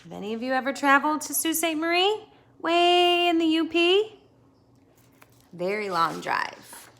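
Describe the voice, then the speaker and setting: An American woman reading a picture book aloud to children in a teacherly way, pausing to put questions to them. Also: sits high, big pitch swings, fairly bright tone. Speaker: read-aloud host for children.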